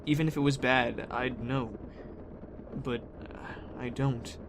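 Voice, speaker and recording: A young man playing a blunt, troubled teenage boy in an audio drama, casual and halting. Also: medium pitch, fairly even intonation, creaky. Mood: scared, frustrated. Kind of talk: fan audio drama acting. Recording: noisy ambience behind the voice.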